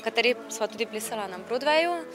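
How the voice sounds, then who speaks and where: A Slovenian woman in her thirties, a dancer and choreographer, answering interview questions in a quick, lively way. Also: on the high side. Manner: quick, lively, full of fillers. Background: music playing.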